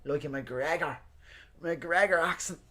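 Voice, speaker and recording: A man's voice: a little high, a little slow, pushed and bright. Casual Irish man hosting a livestream. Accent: neutral Irish. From Ireland and several countries abroad. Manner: informal, sweary, talking to viewers. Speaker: livestream host. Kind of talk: casual livestream chat reacting to team news.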